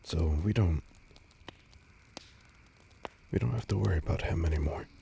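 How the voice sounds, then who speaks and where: A man playing a laid-back, teasing, protective vampire on a date in an intimate audio roleplay, speaking casually with a husky, breathy voice. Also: sits low, fast talker, dark tone, a little hiss or hum. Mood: grave, candid.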